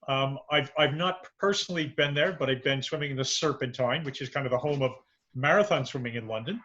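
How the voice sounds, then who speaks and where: A man hosting a casual interview over a video call, asking questions in a relaxed, joking way. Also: medium pitch, brisk, highs cut off. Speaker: host.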